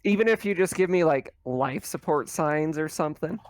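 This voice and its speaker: A man's voice: on the high side, animated, normal speed. A man chatting casually as a player at a tabletop role-playing game, sometimes speaking for his character.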